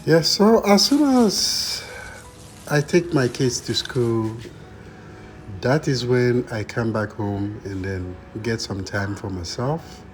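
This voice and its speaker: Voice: mid-range voice, slow pace, slight background noise. A vlogger with a Ghanaian accent speaking to viewers in a reflective, conversational way, repeating points for emphasis. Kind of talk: personal vlog narration to viewers. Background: music.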